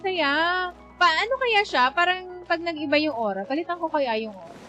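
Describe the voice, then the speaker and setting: A woman with a Filipino accent giving expressive, casual live game commentary, chatting to her viewers. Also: very high voice, fairly quick, lo-fi sound. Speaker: game streamer. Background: game music.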